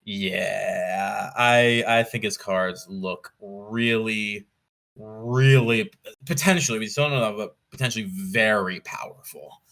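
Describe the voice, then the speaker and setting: A man with a fairly low but bright, forward voice. He chats casually as a podcast guest, weighing his points in a measured, analytical way and thinking aloud. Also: creaky. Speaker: podcast guest, competitive card game player. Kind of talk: casual interview chat.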